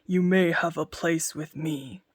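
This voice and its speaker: A woman voicing a huge, dim-witted male giant who growls slowly and loudly. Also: steady tone, dry recording, studio quality. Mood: gruff. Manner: slow booming growl.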